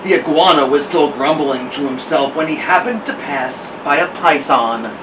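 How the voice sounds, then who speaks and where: Guy reading kids picture book, expressive, highish voice, echoey room with background noise. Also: moderate pace, highs cut off. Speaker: storytime host and reader. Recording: roomy, distant mic, noisy hum.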